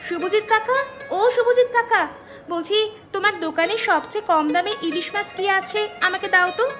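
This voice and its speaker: A woman's voice: extremely high pitch, brisk. A woman voicing a young village daughter in a cartoon fairy tale, earnest and pleading. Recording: music under the voice.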